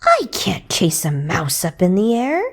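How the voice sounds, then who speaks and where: Woman voicing an astonished, slow-talking storybook cat. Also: lowish voice, huge pitch swings, exaggerated voice. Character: astonished cat, baffled and put out. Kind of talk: children's storybook character voice.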